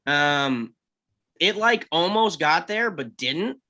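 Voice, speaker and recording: A man's voice: fairly high pitch, animated, slow, sharp tone, dull audio. A man in casual discussion, talking in a rambling, hedging way peppered with "you know". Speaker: table-read panelist.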